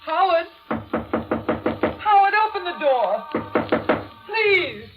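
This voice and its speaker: A woman's voice: very high voice, big pitch swings, slow, over loud music, exaggerated voice. A woman playing an anxious, frightened middle-aged mother in melodramatic 1940s radio thriller style. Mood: panicked. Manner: melodramatic, pleading. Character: anxious, frightened widow, mother of a grown son. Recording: dull old 1940s radio recording.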